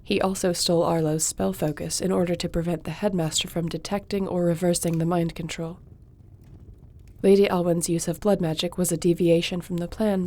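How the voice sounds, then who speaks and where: A woman playing a loyal young sorceress who advises a king, speaking in a measured, reassuring way. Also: on the low side, dark tone, natural voice. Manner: measured, reassuring, reads reports aloud. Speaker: audio roleplay performer. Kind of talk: fantasy audio roleplay.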